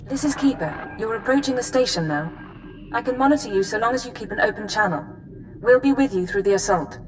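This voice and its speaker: An actress playing a precise, determined intelligence handler, speaking in a controlled, businesslike way in a video game. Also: female voice, fairly high pitch, lively intonation, fairly quick, long reverb tail, slight background noise, lightly acted, rough recording. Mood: focused, businesslike. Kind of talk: video game drama acting.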